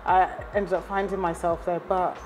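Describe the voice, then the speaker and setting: A young woman with a Bristol and London English accent, telling her story in a relaxed, casual way. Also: on the low side, normal speed, slight background noise, rough recording. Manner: relaxed storytelling, laughs warmly. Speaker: guest. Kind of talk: casual interview chat.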